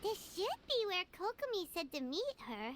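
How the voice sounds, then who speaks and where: A woman voicing a chirpy, tiny childlike fairy companion in a video game, talking in a squeaky, perky way. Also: sing-song, normal speed, a little hiss or hum. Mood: curious, chirpy. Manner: perky, talks of self in third person. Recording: game dialogue over clear music.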